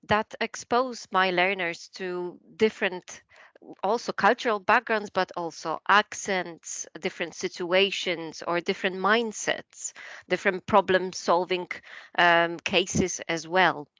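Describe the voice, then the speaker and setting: A woman's voice: lowish voice, sharp tone, no room sound, lo-fi sound. A woman language coach, Polish by first language, chatting in a podcast interview, with frequent fillers, restarts and laughs.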